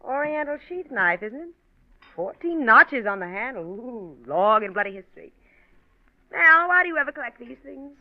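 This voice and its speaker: An actress playing a poised, flippant, newly divorced newspaperwoman in a 1940s radio drama, breezy and arch, quick to bristle. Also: on the high side, very animated, no room sound. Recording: narrow-band vintage radio recording.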